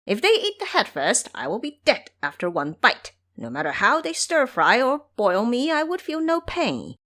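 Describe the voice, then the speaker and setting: A woman's voice: a little high, huge pitch swings, moderate pace, bright, forceful tone, pitched above the performer's own voice, full character voice. A woman voicing a brash, playful male monkey-monk trickster in an audio drama, boastful and teasing. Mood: cheeky.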